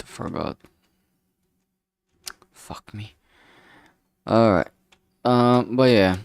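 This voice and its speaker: Slow, gravelly man rambling casually. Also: on the low side, a little mellow, no echo, studio quality. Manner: halting, rambling, trailing off mid-sentence; frank, swears freely. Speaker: solo streamer developing a visual novel. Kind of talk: casual work-along stream monologue.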